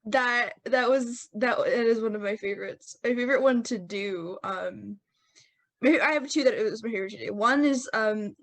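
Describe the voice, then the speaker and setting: A woman with a slightly high voice talks casually as part of a podcast cast. She is giggly and feels her way through sentences in a halting manner. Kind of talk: casual group chat.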